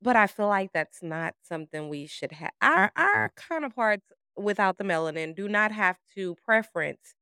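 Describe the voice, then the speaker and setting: A woman's voice: mid-range voice, expressive, normal speed, hardly any pauses, bright, forceful tone, clear, resonant tone, no room sound, studio quality. A woman co-hosting a podcast, talking candidly about work and acting out remembered exchanges. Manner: candid, storytelling with quoted dialogue. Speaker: podcast co-host. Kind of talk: casual conversation.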